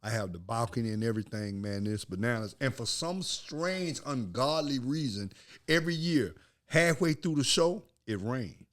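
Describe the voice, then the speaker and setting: A man co-hosting a podcast, telling a story in a loud, animated, casual way. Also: mid-range voice, normal speed. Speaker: podcast co-host. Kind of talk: casual chat.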